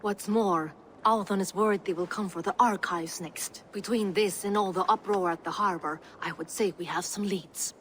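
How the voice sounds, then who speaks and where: A woman playing a capable, fiercely loyal Norse woman in a video game, formal, dry and measured in her speech. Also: medium pitch, slight background noise, lightly acted. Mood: grave. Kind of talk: dramatic video game dialogue.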